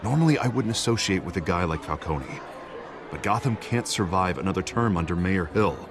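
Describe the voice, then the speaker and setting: An ambitious, glib politician in a story-driven game. His low voice swings with lively intonation as he persuades and promotes himself. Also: brisk. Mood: earnest persuasion. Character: smooth-talking, ambitious male politician, glib and self-promoting. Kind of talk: video game voice acting.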